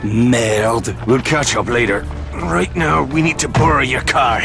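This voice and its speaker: An actor playing a brash, foul-mouthed Irish race car driver in a video game, with a gruff, cocky manner. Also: male voice, medium pitch, steady tone, a little slow. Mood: wry, flirtatious. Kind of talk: video game cutscene acting. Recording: game audio with prominent music.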